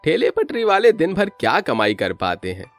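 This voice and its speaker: A man's voice: on the high side, wildly swooping pitch, normal speed, soft background music, pitched above the performer's own voice. A man voicing a chatty, impatient cart vendor in a story, with cajoling, familiar street talk.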